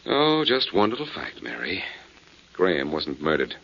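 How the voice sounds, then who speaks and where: Gravelly, low-voiced 1940s radio detective. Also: male voice, huge pitch swings, measured pace, fairly bright tone, exaggerated voice. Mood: brisk, musing. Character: cool, quick-witted male amateur detective. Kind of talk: 1940s radio drama acting. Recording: dull 1940s radio recording.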